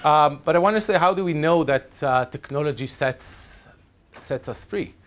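A man's voice: a little high, moderate pace, slight background noise. A middle-aged man with an Israeli accent, a native Hebrew speaker, giving a chatty, hesitant presentation at a teachers' workshop in a lecture room. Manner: chatty, hesitant, many ums and restarts. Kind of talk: conference presentation. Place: lecture room with projector screen.

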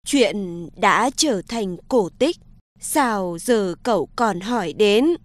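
A woman voicing a suspicious, puzzled elderly villager in a cartoon folktale, speaking slowly and questioningly. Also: mid-range voice, animated, no room sound, pitched below the performer's own voice. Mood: puzzled, wary.

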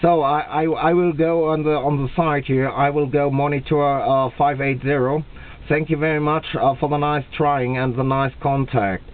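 A man with a German accent making a two-way radio contact, speaking slowly and deliberately and repeating himself. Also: a little high. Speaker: radio operator. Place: indoors at a radio set. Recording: tinny, band-limited camera capture at the radio.

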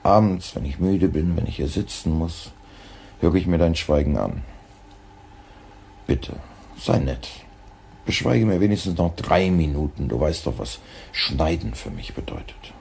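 An actor playing a dry, eccentric radio editor in a German radio drama. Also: male voice, very deep voice, animated, unhurried, vocal fry, pauses often, darkish tone, slight background noise, heavily acted. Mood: gently pleading. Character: dry, eccentric male radio editor who collects silence.

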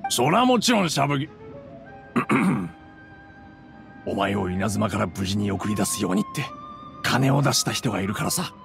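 Gravelly, blunt boatman. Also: on the low side, sing-song, unhurried, pauses often, full character voice. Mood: brusque, matter-of-fact. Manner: rough, casual, curt speech. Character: gruff, blunt male boatman. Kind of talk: video game voice acting. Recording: music behind the voice.

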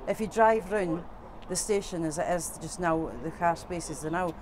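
A woman's voice: lowish voice, normal speed. A woman from the community council, speaking emphatically outdoors on a street. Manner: emphatic, firm. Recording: street noise behind.

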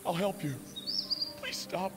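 Panicky frontier gunman for a western game, high and very animated, over music. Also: measured pace, heavily acted. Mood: frightened, pleading. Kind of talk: video game combat barks. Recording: game audio under music.

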